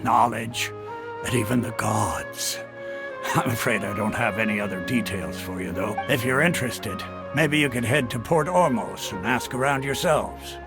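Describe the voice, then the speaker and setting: A man voices a seasoned mercenary chief for a video game, with a gravelly, creaky voice at a middling pitch. He is gruff yet easygoing and explains things in a measured, frank way, with music clearly playing behind him. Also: natural voice. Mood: advising.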